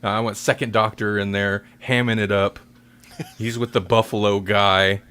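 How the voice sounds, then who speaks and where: A man hosting a podcast, chatting casually about audio dramas in a halting, rambling way. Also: on the low side, little pitch movement, fairly bright tone, clear, resonant tone. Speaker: podcast host.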